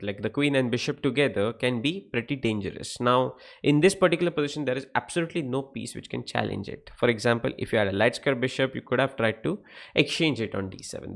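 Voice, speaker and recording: A young man with an Indian English accent and a gravelly, creaky edge to his mid-pitched voice. He explains chess positions on a livestream, asking viewers questions and calling out names from the chat. He talks through a computer mic. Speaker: chess coach. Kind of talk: live-streamed chess lesson.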